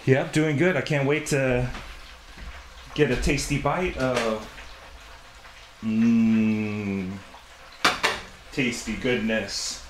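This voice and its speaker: A man's voice: mid-range voice, fairly even intonation, drawn-out words, pauses often. A man casually narrating his home cooking in short, playful, offhand phrases. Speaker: home cooking vlog host. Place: home kitchen, indoors. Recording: roomy, distant mic, loud kitchen noise. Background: frying, dishes and cutlery clatter.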